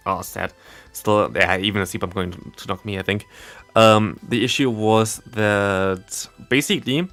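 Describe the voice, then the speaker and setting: A German man with a fairly low voice chats casually as he livestreams a game. His English carries a German accent, and he rambles in a self-deprecating way. Faint music plays behind him. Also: measured pace, a bit bright. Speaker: livestreamer and content creator. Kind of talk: casual live game commentary and chat. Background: background game music.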